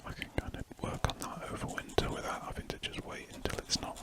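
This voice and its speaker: A man whispering softly and rambling a little as he chats while drawing for an ASMR video, close to the mic indoors. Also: quick pace. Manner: soft rambling whisper with self-corrections. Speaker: ASMR drawing channel host.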